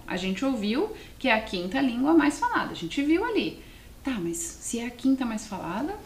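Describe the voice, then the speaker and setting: High-voiced Brazilian woman teaching in an echoey room. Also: a little mellow. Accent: southern Brazilian. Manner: explanatory, often asks questions and adds checking tags. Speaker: language teacher presenting a televised class. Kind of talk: didactic lesson addressed to students. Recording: roomy echo, faint background noise.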